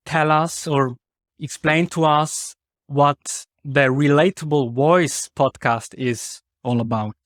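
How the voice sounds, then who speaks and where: A man's voice: a little high, no room sound, clean studio sound. A man who grew up bilingual with Spanish, hosting a podcast interview in a conversational style. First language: bilingual from childhood, including Spanish.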